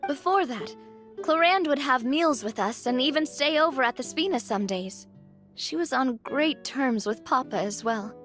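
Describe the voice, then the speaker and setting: A woman playing a gentle, reflective, polite young lady in an anime video game, speaking softly. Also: high voice, lively intonation, moderate pace, faint music behind. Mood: wistful.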